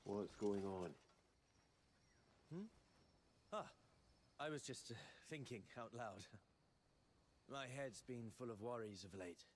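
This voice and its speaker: A man's voice: lowish voice, slow pace, long pauses. A man voicing a worried male villager in a video game, musing hesitantly about his troubles. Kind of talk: video game character dialogue.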